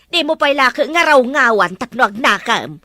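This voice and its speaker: Actress as gossipy mother, bright forceful voice, fairly high, disapproving, drama dialogue, dry sound. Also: animated, moderate pace. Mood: scolding. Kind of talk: Ilocano-language drama dialogue.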